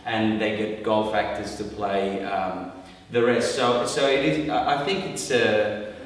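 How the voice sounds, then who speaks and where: A man's voice: lowish voice, fairly even intonation, a little slow. A man from Iran, a workshop participant, talking thoughtfully in a group discussion with many restarts. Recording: echoey hall from far off.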